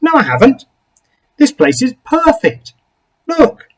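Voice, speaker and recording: A man's voice: very high-pitched, huge pitch swings, takes it slowly, voice pushed up, heavily acted. A man voicing a friendly, forward creature in a children's story, exclaiming in an upbeat, insistent way. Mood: eager insistent. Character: cheery, forward, friendly creature newcomer, eager to befriend.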